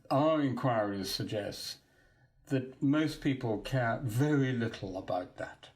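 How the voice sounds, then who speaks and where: Measured articulate British-based man. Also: medium pitch, darkish tone. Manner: measured, articulate, stops and restarts. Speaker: guest, academic project leader. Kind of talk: podcast interview, explaining.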